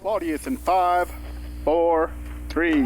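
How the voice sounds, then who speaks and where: A woman's voice: fairly low pitch, fairly even intonation, slow. A woman playing a formal stage announcer in a radio drama, projecting her introductions. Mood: announcing. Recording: loud crowd and noisy ambience.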